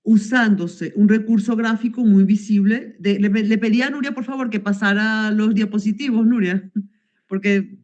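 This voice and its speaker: A woman's voice: medium pitch, fairly even intonation, moderate pace, a little mellow, muffled recording. A woman from Venezuela, presenting research results at an academic conference over a video call and hesitating with many fillers and self-corrections.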